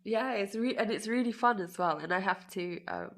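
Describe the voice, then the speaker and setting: A woman in her twenties chatting as a podcast guest, self-deprecating and quick to laugh. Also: mid-range voice, lively intonation. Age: 20s. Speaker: guest musician. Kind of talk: casual podcast interview.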